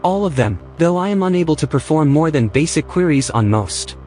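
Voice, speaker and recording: A man's voice: fairly high pitch, animated, brisk, darkish tone, lightly acted. A man playing a fussy, reluctant artificial intelligence in an audio drama, sardonic and easily exasperated. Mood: wary. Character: fussy, reluctant male artificial intelligence, anxious and put-upon. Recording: music behind the voice.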